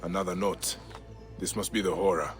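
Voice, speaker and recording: A man acting as a grave Egyptian investigator in a video game, with a fairly low voice. He speaks in measured, brooding phrases, steady and compassionate, with music playing clearly underneath. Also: lightly acted. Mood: brooding, investigative. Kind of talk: video game acting, part dialogue, part musing aloud.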